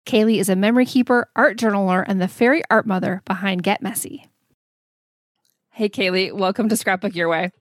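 A woman's voice: mid-range voice, fairly even intonation, a little fast, no room sound, clean studio sound. A woman hosting a podcast and interviewing a guest in an upbeat, enthusiastic way. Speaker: podcast host. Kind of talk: podcast interview, scripted intro.

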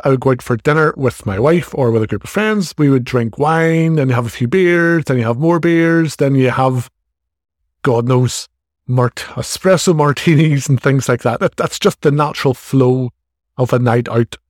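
Irish guy in his 40s, chatting frankly into a close mic, dry room no echo. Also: mid-range voice. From Ireland. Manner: frank, chatty, self-deprecating. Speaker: podcast host. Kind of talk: casual solo podcast monologue.

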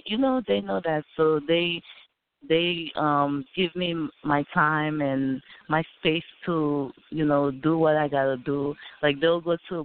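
A woman's voice: sits low, smooth. Woman with a Haitian accent, phone-line sound. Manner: hesitant, many fillers and restarts. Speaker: interview guest, aspiring model. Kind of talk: casual interview answers.

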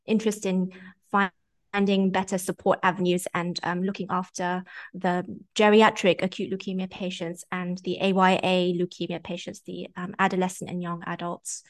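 A woman in her forties with a British accent, a guest doctor chatting in a podcast interview over a video call. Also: lowish voice. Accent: British English. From Singapore. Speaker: guest haematologist.

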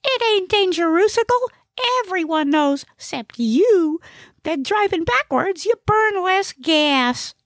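A tiny, cranky alien guy, played by a woman narrator, speaks way up high in an audiobook. He is brash and bossy, with folksy grammar and invented words. The sound is dry and close. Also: animated, slow, no echo, heavily acted. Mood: bossy, boastful. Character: bossy, cranky small male alien, mangles big words. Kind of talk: audiobook character voice.

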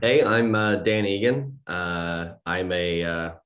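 Young man, very low voice, rambling, full of fillers and restarts, casual interview guest. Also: slow pace, fairly bright tone, dull audio. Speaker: composer guest.

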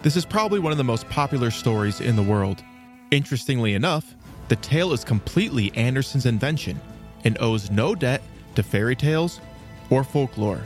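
A man's voice: medium pitch, lively intonation, fairly quick, soft background music. A man hosting and narrating a scripted children's storybook programme in a theatrical storytelling manner. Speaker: host and narrator.

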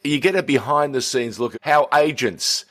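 A man's voice: medium pitch, normal speed, a bit bright. A middle-aged man, a playful, teasing podcast co-host who chats casually. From Australia. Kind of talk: casual chat.

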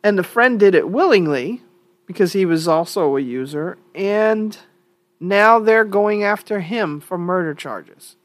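A woman who hosts her own podcast talks slowly and deliberately through a solo opinion monologue. She is still a little congested from a cold. Her tone is conversational and opinionated, and she thinks aloud as she goes. The recording is dry and close, with no room sound. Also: mid-range voice, animated, no echo. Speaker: podcast host.

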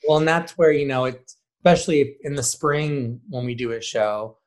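A man's voice: mid-range voice, normal speed. Male theater director in casual interview talk. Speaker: high school theater director being interviewed.

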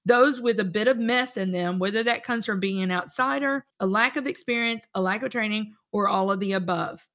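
Enthusiastic woman host, bright voice. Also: medium pitch, a little fast, hardly any pauses. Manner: enthusiastic, encouraging. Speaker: podcast host. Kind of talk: casual interview talk.

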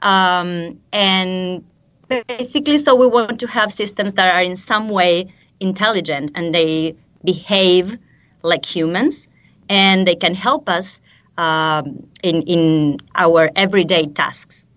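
A woman with an Argentine accent answering interview questions as a guest expert, measured and explanatory. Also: on the low side, a bit bright. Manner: measured and explanatory, occasional repeats. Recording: dull lo-fi line.

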